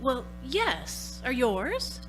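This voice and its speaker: A woman's voice: sits high, wildly swooping pitch, drawn-out words, music in the background, exaggerated voice. A woman playing a polite, concerned housewife and mother in a radio play, motherly and a little hesitant. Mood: friendly.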